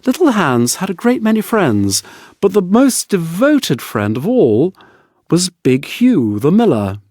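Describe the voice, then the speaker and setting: A man narrating an audiobook fairy tale in an expressive, scripted storybook style. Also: sits high, a little slow, dry recording, clean studio sound.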